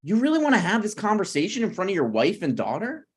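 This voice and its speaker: A man's voice: high voice, huge pitch swings, fast, slightly rough, heavily acted. A man playing a stubborn, snarky broke writer with dry, needling delivery in a comedy table read. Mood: argumentative.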